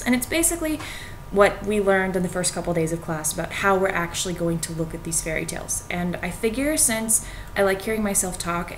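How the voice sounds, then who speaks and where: A woman's voice: medium pitch, poor audio. Young woman explaining casually over background noise. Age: young adult. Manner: conversational, self-deprecating, colloquial asides. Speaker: student making educational videos. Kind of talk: informal explanatory talk to viewers.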